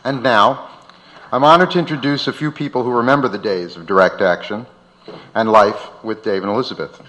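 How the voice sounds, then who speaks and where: A man's voice: medium pitch, brisk, pushed and bright, rough recording. Male host reading scripted narration. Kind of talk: scripted narration read aloud on stage.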